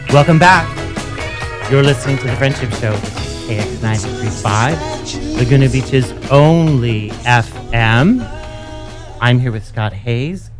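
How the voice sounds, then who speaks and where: A man's voice: medium pitch, music in the background. Chatty man hosting a morning radio show. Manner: chatty, laughing, a little halting. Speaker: radio host. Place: radio studio. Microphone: studio microphone.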